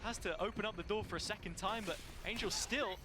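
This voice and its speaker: A man doing brisk play-by-play esports commentary, lifting his energy on key plays. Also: sits high. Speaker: esports caster. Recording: broadcast audio replayed through a stream, noisy.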